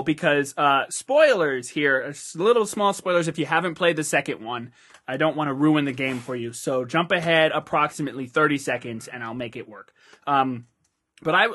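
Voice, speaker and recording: High-voiced, fast-talking joking man. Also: bright, forceful tone. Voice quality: high-pitched voice. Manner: fast, joking, breaks into cartoon impressions. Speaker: podcast co-host. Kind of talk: casual banter.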